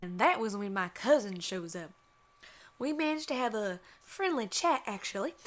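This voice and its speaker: A woman plays a plain-spoken farm mare in an audio storybook. Her voice sits a little high. She talks in a folksy country drawl. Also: lightly acted. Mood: flustered recounting. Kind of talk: dramatised fan fiction reading.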